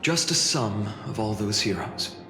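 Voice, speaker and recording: A selfless soldier hero from a game, resolute and earnest in every phrase. The voice sits fairly low, with strong reverberation and prominent music behind. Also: male voice, animated, measured pace. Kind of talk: video game voice acting.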